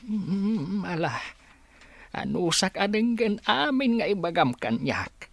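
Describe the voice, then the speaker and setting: A man playing a protective, pleading husband and father in a radio drama, with a husky voice. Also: high-pitched, big pitch swings, slow, exaggerated voice. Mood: remorseful. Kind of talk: Ilocano radio drama acting.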